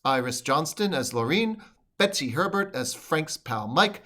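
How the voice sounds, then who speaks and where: A man's voice: on the high side, lively intonation, measured pace, sharp tone. A man reading closing credits in a scripted old-time radio announcing style.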